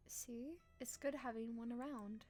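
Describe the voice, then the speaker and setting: A woman live-streaming a visual novel, reading aloud and reacting casually in a playful, expressive, teasing way. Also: fairly high pitch, measured pace, faint music behind.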